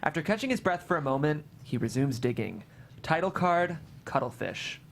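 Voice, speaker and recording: A table-read host delivers scripted stage directions. The voice is medium in pitch and highly animated, with dramatic phrasing over faint background noise. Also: moderate pace.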